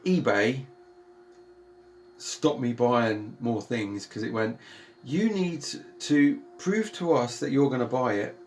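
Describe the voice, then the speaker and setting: A man with a British accent and a calm, soothing voice, rambling chattily through a record unboxing with many ums and self-corrections. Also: fairly low pitch, vocal fry, a little hiss or hum. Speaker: vinyl collector and channel host. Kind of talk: casual unboxing chat.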